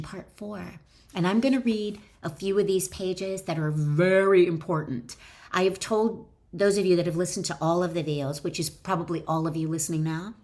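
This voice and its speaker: A woman speaking as an author and wellness teacher, addressing the listener directly in emphatic, deliberate phrases as she instructs and reads from her book. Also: fairly low pitch. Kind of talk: instructional talk mixed with expressive reading aloud from own book.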